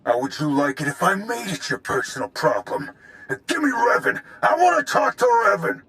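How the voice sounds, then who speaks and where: A blustering space pirate boss for a game. His voice is sharp and forceful with big swings in pitch. He talks in rough, threatening slang. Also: wildly swooping pitch, normal speed, exaggerated voice. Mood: demanding, angry. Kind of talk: video game voice acting.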